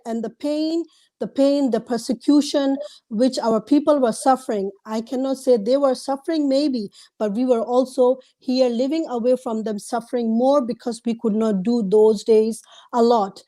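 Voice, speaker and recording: A woman's voice: a little high, warm tone. A woman in her forties, a doctor and activist who first spoke Burmese, answering earnestly on a video call from her home through earphones, with many ums and restarts. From Myanmar (Rohingya), raised abroad. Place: room at home, indoors. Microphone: wired earphone headset on a video call.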